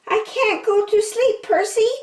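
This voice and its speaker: A woman's voice: very high-pitched, steady tone, measured pace, echoey room, voice pushed up. A woman voicing a small, miserable and indignant squirrel in a children's storybook. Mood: miserable, plaintive.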